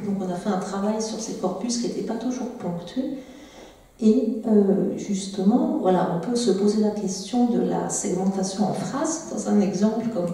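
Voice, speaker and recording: A woman's voice: medium pitch, moderate pace, warm tone, far from the mic. A woman giving an academic talk at a symposium, hesitant, with frequent fillers and restarts. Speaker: conference speaker. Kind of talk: academic presentation. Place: large lecture hall. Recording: echoey lecture hall from afar.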